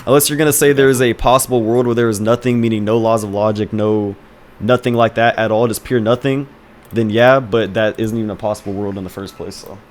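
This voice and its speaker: A man's voice: fairly low pitch, steady tone, brisk, a little mellow, a little hiss or hum. A man arguing hard in a voice-chat debate, crude and trash-talking, speaking into a headset mic.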